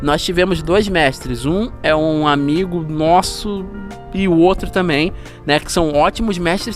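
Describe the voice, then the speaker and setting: A man with a Brazilian Portuguese accent hosting a casual chat with a friend in a building's stairwell, speaking upbeat and straight to his viewers. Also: sits high. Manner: upbeat, addresses viewers directly. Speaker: channel host.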